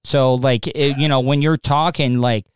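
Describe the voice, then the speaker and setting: A man hosting a live stream, chatting casually and stumbling over his words. His voice comes through very clean and smooth. The sound is close and dry, with no room in it. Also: medium pitch, fairly even intonation, normal speed, no echo. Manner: stammering, repeats words, laughs easily. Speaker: livestream host. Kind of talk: casual chat.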